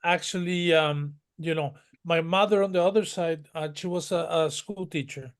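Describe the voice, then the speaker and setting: A man's voice: a little high, normal speed, clean tone. Man telling a rambling story. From northern Mexico. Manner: rambling, detailed storytelling with many restarts. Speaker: guest. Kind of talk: conversational interview.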